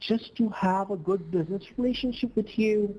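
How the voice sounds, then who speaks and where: A woman's voice: medium pitch, moderate pace, warm tone, breathy edge, poor audio. A woman on a sales call, negotiating over payment for work. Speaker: caller demanding payment for publishing work.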